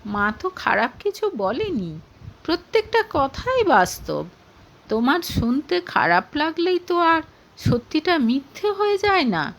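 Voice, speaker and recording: A woman's voice: sits high, sing-song, a little slow, a bit bright, voice pushed up, full character voice, low-quality recording. A woman narrator playing a reproachful young man who defends his mother and justifies himself, in an audio story. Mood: defensive.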